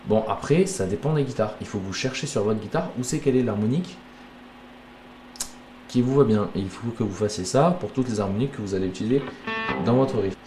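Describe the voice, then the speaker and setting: Low-voiced guitar teacher with a cold. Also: male voice, fairly quick. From southern France. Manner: relaxed, chatty, explains step by step with fillers. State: has a cold, coughing. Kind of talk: casual guitar lesson.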